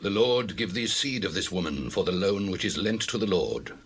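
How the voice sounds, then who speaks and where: An actor playing a very old, grave priest in a dramatized scripture reading, speaking slowly and solemnly. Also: male voice, low voice, lively intonation, a little hiss or hum. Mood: solemn blessing. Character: very old grave Israelite priest, sorrowful father.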